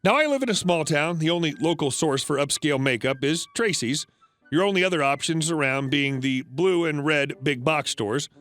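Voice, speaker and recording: A man narrating reddit stories in a scripted read, flat and even with sudden pitch jumps. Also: moderate pace, no room sound, faint music behind.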